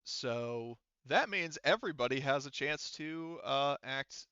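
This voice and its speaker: A man running a tabletop game as game master, explaining rules casually in a halting, self-correcting way. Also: mid-range voice, lively intonation, pushed and bright, clear, resonant tone, no room sound, highs cut off.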